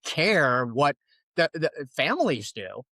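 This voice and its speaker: A man's voice: on the high side, takes it slowly, no room sound. A man from New York with a New York accent, talking as a podcast guest about coaching, thoughtful and full of restarts. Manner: thoughtful, restarts often, animated. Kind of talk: casual conversational interview.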